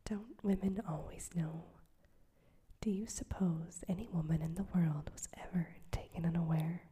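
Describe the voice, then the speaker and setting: A woman voicing a proud, gentle young woman who refuses a suitor, in a soft whisper. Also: on the low side, fairly even intonation, a little slow, lightly acted. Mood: gently teasing. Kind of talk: whispered audiobook reading.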